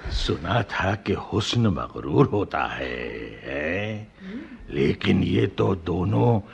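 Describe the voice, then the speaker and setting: A man acting a sly, playful djinn in a radio play, with a gravelly, husky voice and a chuckling drawl. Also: sits low, lively intonation, drawn-out words, slight background noise, exaggerated voice. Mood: amused, wondering. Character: sly, playful male djinn scheming with a fairy.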